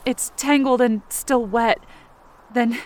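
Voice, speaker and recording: A woman acting an anxious, self-blaming, injured character who narrates her ordeal in short, halting phrases for an audio drama. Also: fairly high pitch, fairly even intonation, darkish tone. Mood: strained, determined. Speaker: fiction podcast performer.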